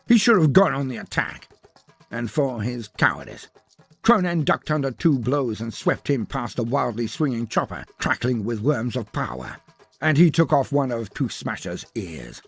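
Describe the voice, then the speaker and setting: Animated, husky male storyteller over faint music. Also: mid-range voice. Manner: theatrical, with dramatic pauses and emphasis. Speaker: story narrator. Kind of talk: dramatic scripted narration of fantasy battle fiction.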